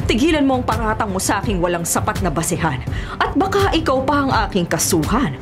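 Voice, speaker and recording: A woman's voice: fairly high pitch, big pitch swings, fairly quick, music in the background. An actress playing a cunning, arrogant businesswoman in a Filipino radio drama, haughty and dismissive. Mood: haughty scorn.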